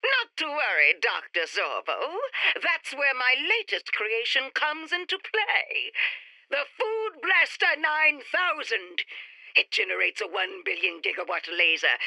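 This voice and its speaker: Sharp, self-assured alien inventor. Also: female voice, sits high, very animated, unhurried, no room sound, exaggerated voice, poor audio. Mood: proud, insistent. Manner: formal, precise, self-important. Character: self-assured, ambitious female alien inventor prodigy, coolly scheming. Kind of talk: retro sci-fi radio play acting.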